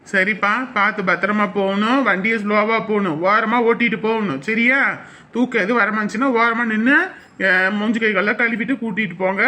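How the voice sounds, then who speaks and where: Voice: mid-range voice, bright, forceful tone, natural voice. A performer playing a caring elderly father-in-law who fusses over family safety in a family drama. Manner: fussy, repeats safety advice.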